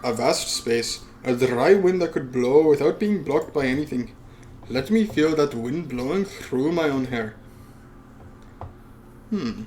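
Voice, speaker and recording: A man's voice: mid-range voice, lively intonation, unhurried, darkish tone, a little hiss or hum. A man who hosts a let's play reads a visual novel aloud and adds casual asides with swearing.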